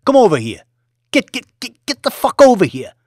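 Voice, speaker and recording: A volatile young boss who bellows and curses, voice jumping high and wide, as a male storyteller puts him on. His tone swings wildly from line to line, and the recording is close and dry with no room sound. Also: sing-song, normal speed, dry recording. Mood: raging. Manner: shouting, swearing, aggressive New York street talk.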